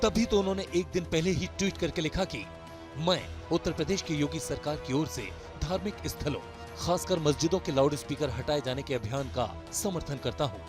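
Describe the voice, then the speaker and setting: A man delivers a dramatic scripted news voice-over. His pitch is on the high side for a man, and music plays clearly behind him. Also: brisk. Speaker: news report narrator. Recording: voice-over laid over loud music.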